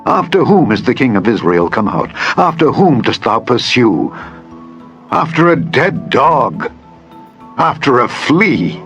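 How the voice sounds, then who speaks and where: A man's voice: medium pitch, fairly bright tone. An actor playing an earnest young warrior pleading his innocence in a declamatory scripture drama. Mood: solemn appeal. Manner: declamatory, emphatic, solemn archaic phrasing. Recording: dull lo-fi audio with music.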